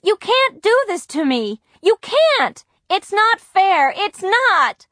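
A woman voicing an upset girl of about twelve who protests in a high, loud, tearful voice. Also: very animated, slow, dry recording, clean studio sound, exaggerated voice. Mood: pleading, upset. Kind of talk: audiobook character voice.

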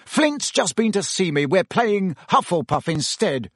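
A reader puts on an intense teenage captain, lifted in pitch and pushed harder in volume. He sounds insistent and keyed up, each line pressed with urgency, close and dry on the mic. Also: sing-song, a little slow, no echo, exaggerated voice. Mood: urgent, intense. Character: teenage schoolboy team captain, intense and anxious.

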